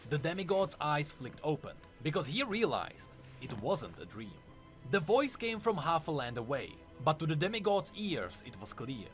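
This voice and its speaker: A man's voice: fairly high pitch, fairly bright tone. A man narrating a scripted story in a solemn, theatrical way. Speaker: lore narrator.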